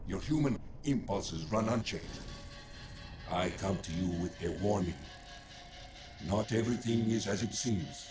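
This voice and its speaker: An actor playing a deep-voiced combat machine in a game, speaking in terse, deliberate phrases. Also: male voice, music in the background, lightly acted. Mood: cryptic warning.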